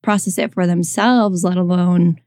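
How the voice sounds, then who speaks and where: A woman's voice: on the low side, steady tone, a little slow, a little mellow, dry recording, clean studio sound. A woman in her thirties co-hosting a podcast, chatting casually with lots of fillers and restarts. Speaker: podcast co-host.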